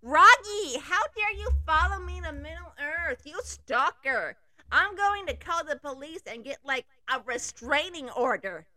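A woman voicing a teenage valley girl who yells shrilly, full of "like" fillers. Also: very high-pitched, very animated, slow, no echo, pitched above the performer's own voice, heavily acted, low-quality recording. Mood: shrill. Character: shrill valley-girl teen yelling at her ex.

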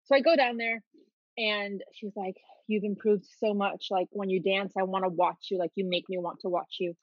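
A woman's voice: medium pitch, fairly even intonation, moderate pace, dry recording. Woman, from the Dominican Republic, podcast guest, candid storytelling, dry, slightly dull sound. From Dominican Republic, raised in Miami. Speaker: podcast guest, clothing company owner. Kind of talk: casual interview storytelling.

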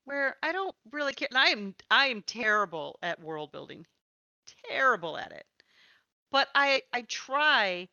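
A woman's voice: on the high side, expressive, a little slow, bright, forceful tone, dry recording, lo-fi sound. A woman hosting a casual, chatty podcast about tabletop gaming, with a playful way of talking. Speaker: podcast host and interviewer.

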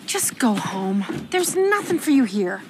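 A woman playing an exasperated woman who brushes off a suitor in a brisk, dry way in a TV comedy scene. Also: on the high side, sing-song, noisy background. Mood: dismissive.

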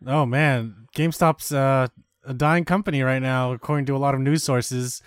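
Man, mid-pitched, relaxed podcast co-host, filler-heavy chat, dry close mic. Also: moderate pace, clean tone, no echo. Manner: easygoing, filler-heavy, enthusiastic. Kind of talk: casual chat.

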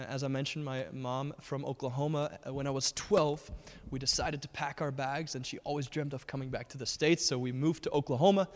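Earnest man telling his story in a reverberant hall. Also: fairly high pitch. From Germany. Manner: earnest storytelling with frequent fillers and restarts. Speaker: guest speaker. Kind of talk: personal testimony in a church talk.

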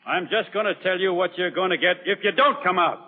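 An actor playing a stern, weary, commanding army doctor with a Southern US accent in an old film drama. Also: male voice, a little high, animated, normal speed, breathy edge, in a large hall. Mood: fierce, commanding. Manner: forceful, clipped orders. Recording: muffled, narrow-band old film soundtrack.

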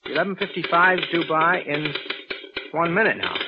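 A brash, scoffing stepson, talks in a highish, very lively voice with punchy 1940s radio delivery. The recording is dull and old. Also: male voice, normal speed, very noisy. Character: brash, impatient, mocking stepson of old man. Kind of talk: 1940s radio drama acting.

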